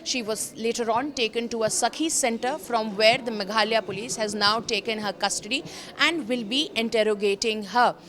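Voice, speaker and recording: High-pitched Indian woman reporting news. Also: fairly bright tone. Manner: emphatic, repeats phrases for stress, rhetorical questions. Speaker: news reporter presenting a crime story. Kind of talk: news explainer commentary, semi-scripted.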